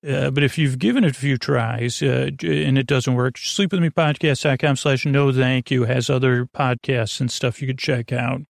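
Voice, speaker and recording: A man hosting a bedtime podcast in a rambling, halting monologue, with a creaky voice, often repeating himself and trailing off. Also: mid-range voice, steady tone, no room sound, clean studio sound. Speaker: podcast host.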